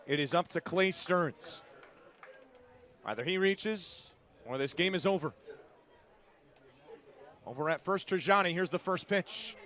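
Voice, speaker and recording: A man's voice: high voice, a little slow, pauses often, a bit bright. A man doing live play-by-play sports commentary in punchy, clipped phrases, as an announcer calling the game. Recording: live stadium crowd behind the voice. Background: outdoor ballpark crowd.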